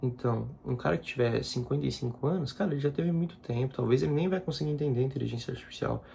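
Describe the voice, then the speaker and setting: A young man in his twenties talks loosely to the camera as a content creator, looping back over his words in an informal, slangy way. His voice is gravelly and mellow rather than bright. A faint noise sits behind him. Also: mid-range voice, rapid-fire. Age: 20s. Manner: informal, repeats himself, slangy asides. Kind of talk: casual personal vlog talk.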